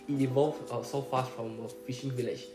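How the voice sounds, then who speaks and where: A man's voice: mid-range voice, steady tone, brisk, reverberant, over music. A young man with a Malaysian English accent, a Chinese speaker from home, explaining things in casual chat as a podcast co-host, ending phrases with "lah" and "right?".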